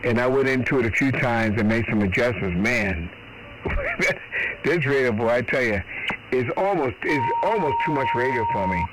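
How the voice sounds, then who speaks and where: A man's voice: mid-range voice, animated, moderate pace, fairly bright tone. A man from Boston in casual, folksy, rambling conversation, heard over shortwave amateur radio. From Boston, United States. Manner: folksy, rambling, frequent "man" and "you know". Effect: heard over shortwave ham radio. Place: home radio shack. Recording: noisy shortwave radio transmission. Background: radio static.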